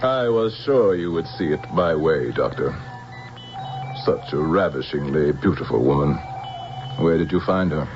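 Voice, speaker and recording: An actor playing a suave, soulless, threatening general scheming for power in a radio drama, speaking with silky formality. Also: male voice, extremely low pitch, expressive, measured pace. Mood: smug, probing. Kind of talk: 1970s radio drama acting. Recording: muffled vintage radio recording with music.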